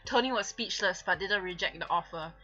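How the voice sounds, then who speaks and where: A woman's voice: fairly low pitch, brisk, sharp tone, dull audio, soft background music. A woman giving a giggly, theatrical reading of fan fiction on a live stream, breaking off for casual asides.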